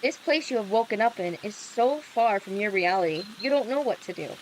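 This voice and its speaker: A woman's voice: medium pitch, lively intonation, a little fast, a little hiss or hum, low-quality recording. A woman livestream host reading a visual novel aloud, with playful casual reactions. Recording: background music under the voice. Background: music.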